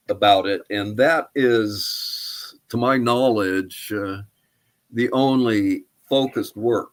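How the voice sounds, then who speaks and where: An older man answering interview questions as a historian, speaking in a halting, thoughtful, tentative way. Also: on the low side. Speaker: archaeologist and historian guest. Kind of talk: interview answers about research.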